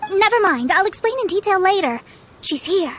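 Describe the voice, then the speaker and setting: A woman's voice: extremely high pitch, animated, normal speed, a bit bright, a little hiss or hum. A woman voicing a proud, learned young female astrologist in a video game, speaking in formal, haughty, theatrical phrases. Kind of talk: scripted video game voice acting. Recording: studio voice over game music.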